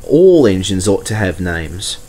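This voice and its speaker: A man's voice: sits low, sing-song, unhurried, warm tone, breathy edge, full character voice. A man voicing a friendly vintage steam engine, chatty and earnest, in a storybook. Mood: cheerful, confiding.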